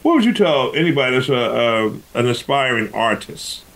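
A man's voice: mid-range voice, very animated, normal speed, a bit bright. A man hosting a radio interview show, asking questions in a chatty way and drifting into his own stories. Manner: chatty, jumps into personal stories.

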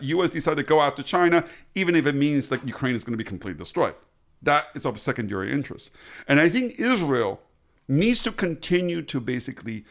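A middle-aged man born in the US and raised in Taiwan, a guest economist answering interview questions with emphasis, often repeating words as he starts. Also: medium pitch. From born in the US, grew up in Taiwan.

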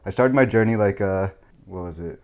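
Guest, young male, deep voice, fast, rambly casual talker with lots of fillers. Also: darkish tone. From United States. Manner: rambling, heavy filler "like", chuckling. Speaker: podcast guest. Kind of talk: casual chat.

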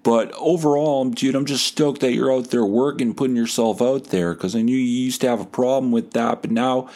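A man's voice: mid-range voice, normal speed, a little mellow, pitched above the performer's own voice, natural voice. A man voicing a chatty, blunt boyfriend who rambles and gives backhanded encouragement, in a comedy podcast story. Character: worried, blunt boyfriend, a chatty lukewarm fan.

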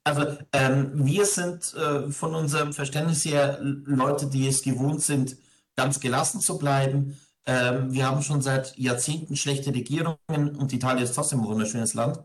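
A man's voice: medium pitch, fairly even intonation, moderate pace. A man from Ingolstadt in Germany, a parish priest, answering interview questions in a reflective, conversational way with frequent hesitations. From grew up in Ingolstadt, Germany.

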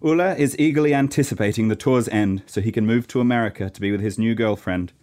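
A man's voice: on the low side, fast talker, a little mellow. A man from Norway narrating a scripted radio play in a theatrical, storytelling way.

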